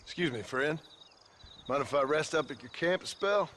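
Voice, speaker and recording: A man's voice: on the high side, animated, normal speed, a bit bright. A man playing a plain-spoken, guarded American gunslinger with a laconic, politely wry manner in a video game western. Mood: polite, even. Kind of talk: video game cutscene acting. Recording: outdoor ambience, clear background noise.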